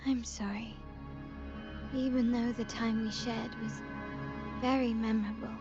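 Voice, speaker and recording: Game heroine, played by a woman: composed young gunwoman, restrained and measured, slightly dull lo-fi sound over music. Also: a little high, level tone, natural voice. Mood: cool resolve. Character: composed, cool young gunwoman from a powerful family. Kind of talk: video game story dialogue.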